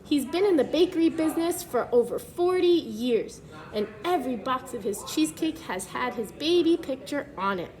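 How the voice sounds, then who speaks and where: High-voiced woman reading aloud to children. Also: expressive, normal speed, darkish tone. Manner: explains simply to young children. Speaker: preschool teacher reading a picture book aloud. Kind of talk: children's read-aloud with simple explanatory asides.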